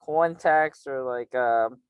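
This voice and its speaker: A man's voice: medium pitch, slow, clean tone, no echo, muffled recording. Rambling, crude Californian man. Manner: rambling, crude, lots of fillers, teasing. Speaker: channel host. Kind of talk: casual flirty video-call chat.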